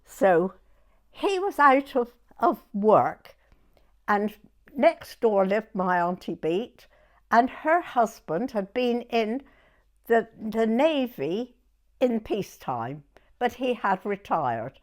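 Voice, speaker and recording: A woman in her nineties with a southern English accent, reminiscing conversationally in a halting way and often checking that she is understood. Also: on the high side, very animated. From Dorset, England. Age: 90s. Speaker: oral history interviewee.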